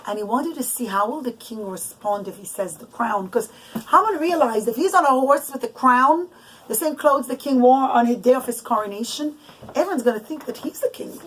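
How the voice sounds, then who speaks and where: A woman telling a bedtime story to children off the cuff, in a halting way with emphatic repetition. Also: high voice, a bit bright, husky, low-quality recording. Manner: halting, restarts and loses the thread, emphatic repetition.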